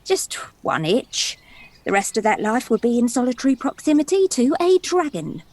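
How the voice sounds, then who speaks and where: A woman's voice: on the high side, big pitch swings, normal speed, a little mellow. A woman playing a cheeky, theatrical young damsel in a stage play, telling her tale in a gossipy, confiding way. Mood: gleeful, dreamy. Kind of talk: stage play storytelling monologue.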